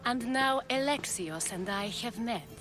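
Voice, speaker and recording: An actress playing a self-assured, shrewd leader of ancient Greek courtesans in a video game, speaking in a measured, formal way. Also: female voice, a little high, animated, a bit bright. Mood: composed. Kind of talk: video game cutscene acting. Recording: music behind the dialogue.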